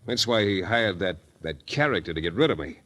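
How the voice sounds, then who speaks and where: An actor playing a wry, terse, flirtatious hardboiled private detective in a 1940s radio drama. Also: male voice, low voice, lively intonation, brisk, fairly bright tone. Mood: grave, explaining. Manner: wry, terse tough-guy patter. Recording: 1940s radio recording with music.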